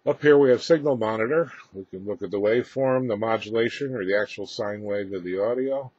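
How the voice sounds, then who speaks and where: Deep, husky man explaining equipment. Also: darkish tone. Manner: halting, matter-of-fact explaining. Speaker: presenter demonstrating his radio equipment. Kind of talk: unscripted technical walkthrough. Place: indoor room with equipment rack. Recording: roomy, slightly muffled home-video sound.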